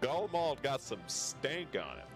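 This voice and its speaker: Male game streamer, animated pitch swings, slangy and sweary casual commentary, faint music behind. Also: unhurried, creaky.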